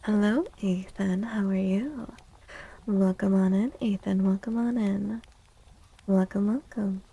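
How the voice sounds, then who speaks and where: A woman streamer speaking in a soft, breathy ASMR style, often near a whisper, chatting gently and casually close to the mic. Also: medium pitch, steady tone, takes it slowly, rough recording. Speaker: live streamer hosting chat. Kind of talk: soft-spoken ASMR, casual live chat.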